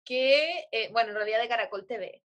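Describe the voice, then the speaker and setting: Bright high-voiced Chilean woman, slangy. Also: brisk. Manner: slangy, joking, laughs often. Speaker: podcast co-host. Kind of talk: casual chat.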